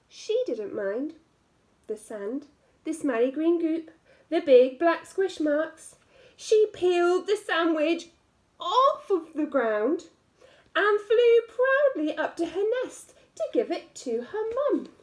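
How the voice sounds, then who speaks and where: A woman reading a picture book aloud to young children as a teacher, expressively stretching key words and adding little asides to the listeners. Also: sits high.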